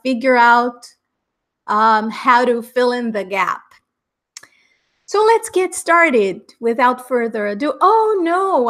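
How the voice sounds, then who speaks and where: Middle-aged Brazilian woman, Americanized accent, English teacher on a live class, upbeat and unhurried, a touch high. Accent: Brazilian Portuguese, Americanized English. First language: Portuguese. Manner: upbeat, chatty, laughs often.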